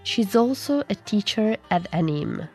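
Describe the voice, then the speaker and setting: A woman's voice: mid-range voice, sing-song, measured pace, a little mellow, faint music behind. A woman reading scripted narration as a radio news reporter.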